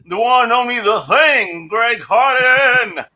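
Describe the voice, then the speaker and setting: A man's voice: very high-pitched, expressive, slow pace, bright, forceful tone. A man hosting a podcast with enthusiastic, slangy, showman-style introductions and casual chat. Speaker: podcast host.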